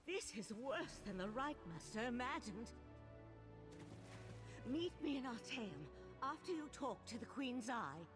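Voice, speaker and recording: An actress playing a brisk, determined mage on a mission in a video game. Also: female voice, fairly high pitch, big pitch swings, music in the background. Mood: urgent, focused. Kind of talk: video game character dialogue.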